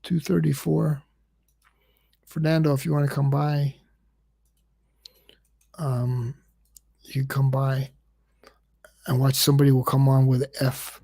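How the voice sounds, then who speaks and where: A man's voice: medium pitch, level tone, takes it slowly, vocal fry, pauses often, mellow, husky. A man hosting a casual livestream, rambling and repeating words, and he says he stutters. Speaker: livestream host.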